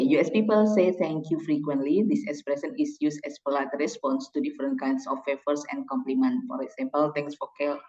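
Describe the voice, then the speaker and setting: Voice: medium pitch, a little mellow. A lecturer teaching a class and interviewing a guest, reading from notes with frequent slips and self-corrections. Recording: distant, roomy, dull lo-fi sound.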